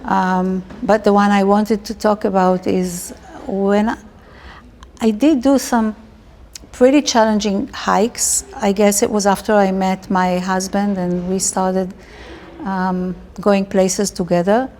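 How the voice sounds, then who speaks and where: A woman's voice: mid-range voice, steady tone, takes it slowly, a little mellow. A middle-aged woman from Israel with an Israeli accent, telling personal stories casually as an interviewee.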